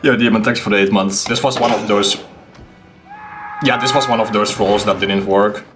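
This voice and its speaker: A man's voice: fairly low pitch, fairly even intonation, moderate pace. A man in his twenties giving hyped live stream commentary, often repeating his phrases. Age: 20s. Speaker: game streamer. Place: small home room with kitchen. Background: music.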